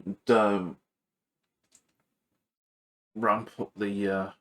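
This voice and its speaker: A man giving casual hands-on repair commentary as a video host, halting and thinking aloud while he works. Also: lowish voice, flat delivery, a bit bright.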